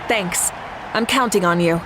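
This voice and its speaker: A woman's voice: lowish voice, unhurried, noisy background, close to an everyday voice. Woman voicing a formal tournament announcer. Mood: polite. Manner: formal, ceremonious. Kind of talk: game voice acting. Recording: game audio with music.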